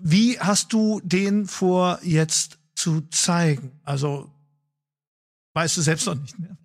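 A male interviewer with a high, gravelly voice. He asks long, chatty questions and thinks aloud with plenty of fillers. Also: fairly even intonation, slow pace. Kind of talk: interview conversation.

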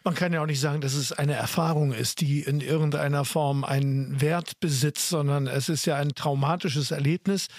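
A man's voice: fairly high pitch, fairly even intonation, normal speed, hardly any pauses, no echo. A man hosting a podcast, talking in an effusive, rambling way. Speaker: podcast host. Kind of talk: casual podcast interview.

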